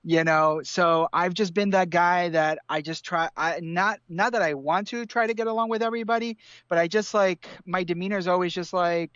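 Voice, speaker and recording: A man's voice: sits high, moderate pace, few pauses, clear, resonant tone, no room sound, highs cut off. A man who grew up in Ecuador, a guest artist chatting casually with many fillers over a remote call. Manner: relaxed, rambling with many fillers. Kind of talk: casual interview chat. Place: home room in hot city with air conditioning.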